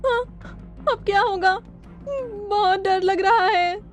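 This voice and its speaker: Woman acting a very high, fretful little companion. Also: normal speed, darkish tone, soft background music. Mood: frightened. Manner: earnest, fretful.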